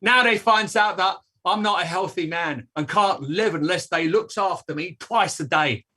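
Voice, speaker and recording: An actor playing an aggrieved old dustman with a raspy voice, declaiming his complaints in a staged reading. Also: high voice, moderate pace, pushed and bright, heavily acted. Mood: indignant. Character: blustering, aggrieved old working-class English dustman.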